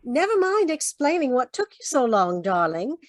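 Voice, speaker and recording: A woman's voice: high voice, big pitch swings, moderate pace, background noise. A woman playing a sultry, welcoming sweetheart in a noir radio drama, languid and inviting. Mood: inviting, affectionate.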